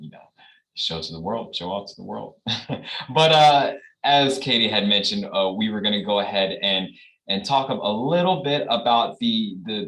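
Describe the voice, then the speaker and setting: A man with a lowish voice hosting a webinar over a video call. He talks casually and warmly, chuckling often and filling his sentences with loose fillers. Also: poor audio. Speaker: webinar host.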